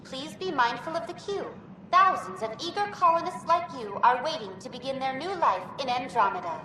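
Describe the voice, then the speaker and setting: A woman's voice: high-pitched, animated, a little fast, a crowd in the background. A woman voicing a cheerful, helpful virtual intelligence in a game, giving polished, formal, scripted welcome announcements. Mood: upbeat, welcoming. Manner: polished, upbeat, formal customer-service delivery. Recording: echoey distant sound with music behind.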